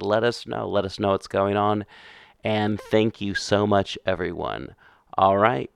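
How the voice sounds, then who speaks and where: A man's voice: lowish voice, vocal fry, clear, resonant tone, dry recording, clean studio sound. A man hosting a podcast, talking in a casual, offhand way through intros and plugs. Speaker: podcast host.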